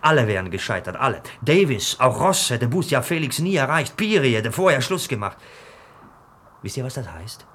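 An actor in a German radio play as an obsessed, restless dreamer, talking in theatrical fragments. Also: male voice, medium pitch, big pitch swings, normal speed, a bit bright, a little hiss or hum. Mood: rapt, bitter. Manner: theatrical, fragmented, brooding delivery. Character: obsessed, restless dreamer retelling a circus story.

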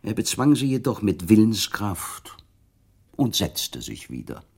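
Guy narrating a literary radio play, low voice, kinda husky. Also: very animated, a little slow, darkish tone.

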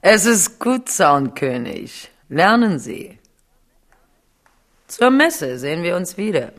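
An actress playing a scheming older queen mother in a German radio play, measured, condescending and falsely motherly. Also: fairly low pitch, big pitch swings, full character voice. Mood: condescending, possessive.

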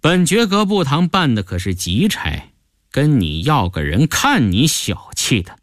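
A man's voice: mid-range voice, huge pitch swings, no echo, studio quality. A man doing a haughty, drawling imperial commissioner. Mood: teasing, pressing. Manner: slow, deliberate, lordly drawl. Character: haughty high-ranking Qing imperial commissioner, male, suave, teasing. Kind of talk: Chinese audio storytelling.

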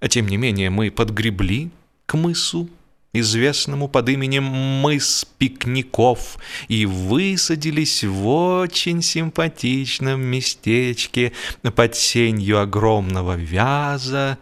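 A man narrating humorous literary fiction as a scripted audiobook, in an expressive, mock-earnest storytelling manner. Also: medium pitch, slow pace.

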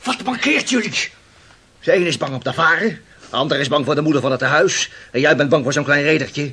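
An actor playing a blunt, hot-headed young sailor in a Dutch radio play, indignant and scornful of authority. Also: male voice, on the high side, animated, fairly quick, bright, forceful tone, husky, exaggerated voice.

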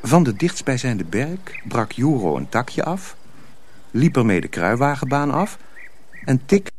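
A man's voice: mid-range voice, very animated, unhurried, slight background noise. A man narrating a radio play in scripted storybook style.

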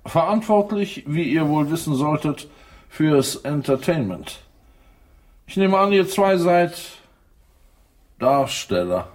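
An actor playing an arrogant, imperious English earl in a German radio play, pompous and formally commanding. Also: male voice, on the high side, animated, takes it slowly, long pauses. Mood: haughty, commanding.

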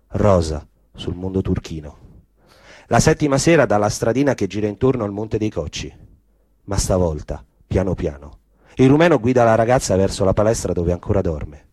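A man narrating literary prose as a stage actor, with dramatic, deliberate phrasing. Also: fairly low pitch, dark tone, no room sound.

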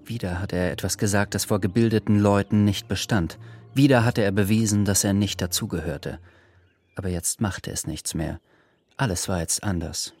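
Low-voiced male radio drama narrator. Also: moderate pace, a little mellow.

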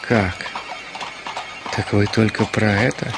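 An actor playing a weary, irritable gentleman in a Russian radio drama, impatient, emphatic and theatrical in manner. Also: fairly low pitch, little pitch movement, takes it slowly, noisy background. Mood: firm, challenging. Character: weary, irritable gentleman, curt with an unwelcome rival.

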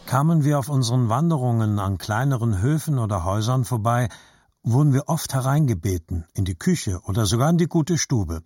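A man narrating a scripted literary story in a measured, reflective manner. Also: medium pitch, expressive, a little mellow, husky, dry recording.

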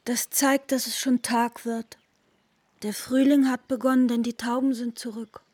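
A young woman, a reserved and evasive lover in a radio play. Her voice is fairly high with a breathy, husky edge, recorded very dry. She speaks politely and keeps her distance. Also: flat delivery, a little slow, darkish tone, no room sound. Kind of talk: literary radio drama dialogue.

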